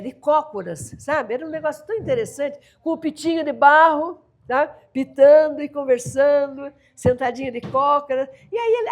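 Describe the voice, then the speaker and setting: An older woman from the São Paulo coast with a caiçara accent, telling folk stories in a lively, laughing way as an interview guest. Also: sits high. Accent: Brazilian Portuguese, São Paulo coast (caiçara). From São Paulo coast, Brazil. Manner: lively, laughing, voices family members in dialogue. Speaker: storyteller guest. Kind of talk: animated storytelling of folk tales.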